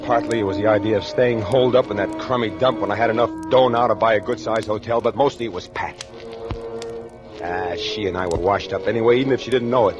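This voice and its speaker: An actor playing a hard-boiled, callous robber in a 1940s radio crime drama, with slangy, defensive talk. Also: male voice, fairly low pitch, quick pace, natural voice. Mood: restless, sour. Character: hard-boiled, jumpy male robber who killed a man. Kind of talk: 1940s radio crime drama acting, first-person narration and dialogue. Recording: old 1940s radio recording, faint music.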